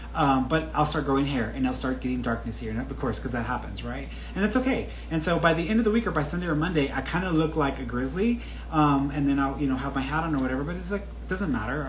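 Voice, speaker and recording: A man in his thirties, a podcast host answering a viewer's question in a casual, candid, chatty way. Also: mid-range voice. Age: 30s. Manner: chatty and candid, with frequent restarts and laughs. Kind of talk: casual solo talk. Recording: echoey room with faint background noise.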